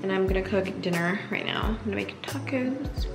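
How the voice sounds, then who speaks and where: A young woman talks to her phone held at arm's length, in a loose, rambling way, filling her sentences with "like". Her voice sits at an ordinary middle pitch. Music plays clearly behind her. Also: brisk. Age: 20s. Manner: chatty, rambling, says "like" often. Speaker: vlogger. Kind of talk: casual vlog chat. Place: car, kitchen and apartment. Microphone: handheld phone camera at arm's length.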